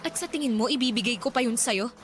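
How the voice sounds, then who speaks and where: High, fast-talking indignant young woman acted by a woman. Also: noisy background, natural voice. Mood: hurt, angry. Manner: sharp, challenging retorts. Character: hurt, indignant young working woman, guarded and confrontational. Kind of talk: radio drama acting.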